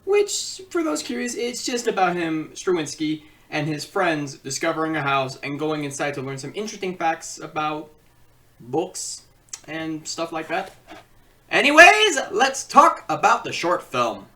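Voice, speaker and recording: A man hosting a comedic video review, switching between theatrical announcing and halting casual asides. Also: on the high side, sing-song, sharp tone, far from the mic. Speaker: online film reviewer and host.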